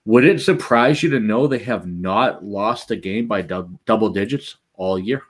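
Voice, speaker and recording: A man's voice: fairly low pitch, moderate pace. A man with a Boston accent, joking and trash-talking while co-hosting a casual sports chat. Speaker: podcast co-host.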